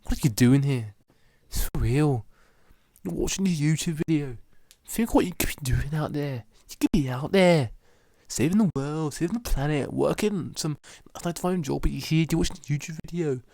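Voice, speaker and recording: A man's voice: a little high, normal speed, vocal fry, darkish tone, no room sound, clean studio sound, pitched above the performer's own voice. A man voicing a pushy male motivational ranter who lectures the viewer in a raised, preachy tone. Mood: ranting.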